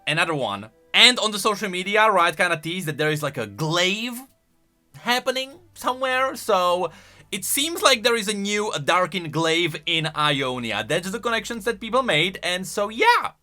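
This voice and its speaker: A man's voice: sits high, big pitch swings, moderate pace, bright, forceful tone, soft background music. A man giving casual video commentary on game lore as a YouTuber, rambling along and stretching words for emphasis.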